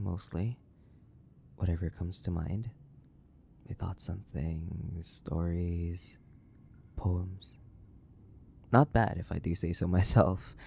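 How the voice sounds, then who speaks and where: A man playing a centuries-old, sadistic vampire in a theatrical horror audio roleplay, condescending and drawling, with a gravelly, breathy voice that slips into whispers. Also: sits low, warm tone, a little hiss or hum, exaggerated voice. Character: sadistic, centuries-old imprisoned male vampire, mocking and bored. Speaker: voice actor.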